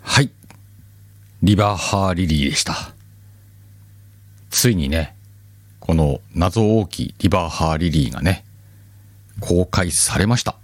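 A man's voice: low voice, lively intonation, unhurried, long pauses, dry recording. A man hosting a podcast in a chatty, joking, self-deprecating way. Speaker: podcast host. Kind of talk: casual chat.

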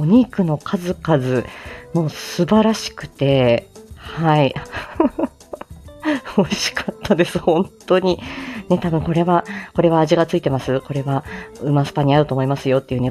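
A woman with a low, soft-edged mellow voice hosts a casual livestream. She talks politely and chattily, with a giggly streak, over faint background music. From Japan, outside Tokyo. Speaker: livestream host, amateur voice drama performer. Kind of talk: casual morning livestream chat.

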